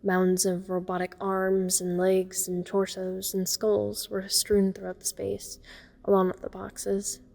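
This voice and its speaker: A woman's voice: mid-range voice, monotone, takes it slowly, vocal fry, darkish tone. A woman playing a teenage girl who narrates her horror ordeal in the first person, observant and uneasy. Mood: wry, fond. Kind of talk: first-person dramatic audio story narration.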